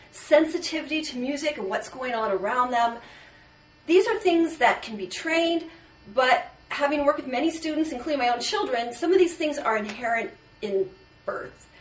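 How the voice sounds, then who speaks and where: A woman voice teacher explaining points one by one in a measured instructional video. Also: sits high, slight background noise. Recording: echoey room, distant mic.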